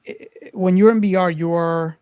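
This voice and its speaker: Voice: medium pitch, steady tone, measured pace, a little mellow, clean tone, no room sound. A podcast co-host casually discussing a film, hesitant and often searching for words.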